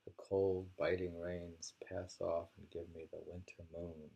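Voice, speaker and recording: Slow, gravelly man reading poetry. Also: deep voice, level tone, dark tone, lo-fi sound. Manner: deliberate, repeats lines and muses on them. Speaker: poetry reader and commentator. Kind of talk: reading poems aloud with casual discussion.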